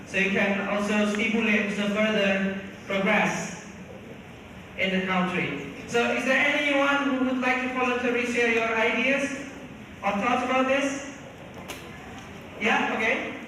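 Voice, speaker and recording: A man's voice: high voice, sharp tone. A man moderating a university lecture, summarizing audience points and inviting questions. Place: large lecture hall. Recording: echoey hall, distant mic, noise.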